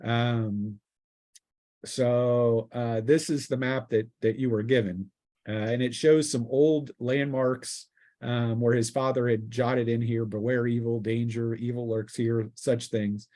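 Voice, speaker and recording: A man with a medium-pitched voice acts as game master for a tabletop session. He narrates the story and sorts out rules in a casual, explanatory manner, feeling his way through sentences with a halting delivery. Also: steady tone, warm tone.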